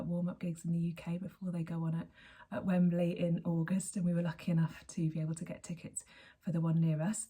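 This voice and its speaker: A hoarse, husky woman in her forties talks to camera with a mellow, low voice. She rambles cheerfully and restarts her sentences as she goes, like a host catching up with regular viewers. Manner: chatty, rambling, with frequent self-corrections. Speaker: knitting podcast host. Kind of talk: casual chat to camera.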